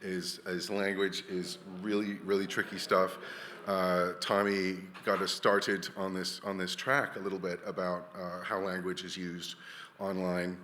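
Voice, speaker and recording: Man, conference speaker, chatty and joking, bright forceful voice, lowish pitch, long hall reverb. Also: little pitch movement, a little slow, slight background noise. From Wales. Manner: chatty, jokey, many restarts and fillers. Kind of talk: conference talk. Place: large hall.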